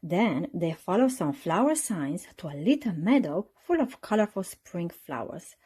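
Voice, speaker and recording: A woman reading a children's storybook aloud in an expressive narrating style. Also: on the low side, a little slow, husky. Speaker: storybook reader.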